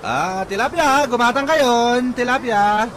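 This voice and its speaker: A man acting in an Ilocano radio comedy drama as an excitable, exclamatory, put-upon husband who peddles fish. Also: very high voice, unhurried, crowd noise, background noise. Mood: plaintive. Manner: exclamatory, wailing when upset.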